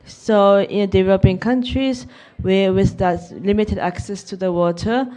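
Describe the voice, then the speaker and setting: Hesitant woman speaker with a mellow voice. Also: medium pitch, fairly even intonation, a little slow. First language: Japanese. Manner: hesitant, many fillers and restarts. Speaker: keynote speaker, youth representative. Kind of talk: unscripted conference speech. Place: conference venue.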